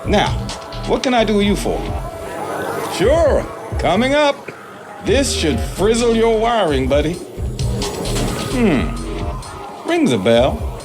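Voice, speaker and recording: An actor playing an easygoing, folksy and wry bartender in a video game. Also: male voice, high voice, very animated, slow, pauses often, music in the background, exaggerated voice. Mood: friendly. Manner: folksy, familiar. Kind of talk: video game cutscene acting.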